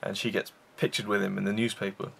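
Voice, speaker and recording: Low gravelly man reviewing a film. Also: level tone, quick pace, a bit bright, slight background noise. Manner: rambling, enthusiastic, many fillers and restarts. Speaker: film reviewer, show host. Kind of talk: casual film review chat.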